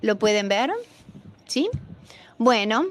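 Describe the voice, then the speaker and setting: A woman giving a formal panel presentation on a video call, halting at times with fillers and restarts. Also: a little high. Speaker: panel speaker.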